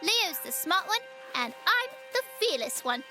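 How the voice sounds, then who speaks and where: A woman's voice: extremely high pitch, sing-song, unhurried, over music, full character voice. A woman playing a fearless, optimistic seven-year-old girl in an audio drama, cheeky and matter-of-fact. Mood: playful, teasing.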